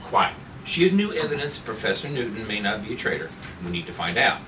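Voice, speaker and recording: A man's voice: lowish voice, sing-song, a little fast. A man reading the part of a stern, exasperated sci-fi commander at a table read. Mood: firm. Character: gruff, exasperated male commander in a sci-fi script. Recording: noisy echoey room, distant mic.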